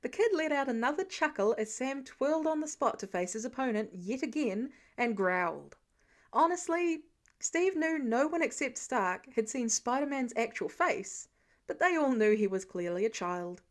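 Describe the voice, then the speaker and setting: A woman reading fan fiction aloud as scripted, expressive storytelling. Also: high-pitched, moderate pace. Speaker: podfic reader.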